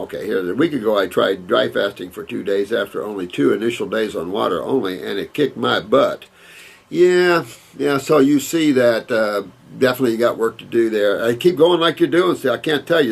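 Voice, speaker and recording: Older male naturopath, gravelly and slightly husky, rambling and emphatic Q&A delivery. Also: mid-range voice, lively intonation, moderate pace. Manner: rambling, emphatic, repeats words and restarts. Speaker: naturopath answering viewer questions. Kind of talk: casual Q&A talk, reading and answering letters.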